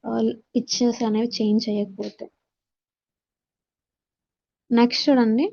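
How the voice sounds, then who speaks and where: A woman's voice: fairly high pitch, level tone, unhurried, gravelly, darkish tone. A woman with a Telugu accent giving a lesson as an instructor, explaining points from slides in a dull, lo-fi recording. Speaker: instructor teaching communication skills. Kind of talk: slide-based lesson, reading points aloud then explaining.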